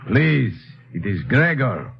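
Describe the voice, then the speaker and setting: An actor playing a cool, disdainful foreign pilot henchman, formal and deliberate, in an old radio drama. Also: mid-range voice, sing-song, creaky, heavily acted. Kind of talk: 1950s radio adventure acting. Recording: dull old radio recording, faint noise.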